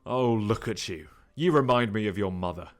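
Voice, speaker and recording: A man's voice: lowish voice, moderate pace, close to an everyday voice. A man playing a bitter, resentful murderer posing as a doctor, speaking with scorn. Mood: scornful. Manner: scornful, contemptuous. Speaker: Dr Edwards.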